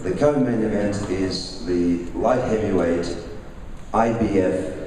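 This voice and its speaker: A Russian-born man raised in Australia, a boxing promoter introducing fighters at a press conference with halting, restarting sentences. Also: sits low, noisy background. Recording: echoey hall, mic far off.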